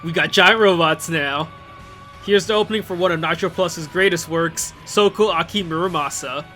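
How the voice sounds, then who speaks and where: A man giving casual, enthusiastic video commentary in an excitable, conversational way, full of exclamations and asides. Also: on the high side, normal speed. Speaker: video host commenting on visual novel openings. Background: music playing behind the voice.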